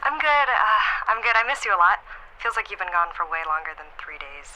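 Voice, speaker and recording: A woman's voice: medium pitch, lively intonation, fairly quick, lightly acted. An actress playing an affectionate, teasing young girlfriend on a phone call in a naturalistic audio drama, talking casually. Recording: clear noise, phone call ambience.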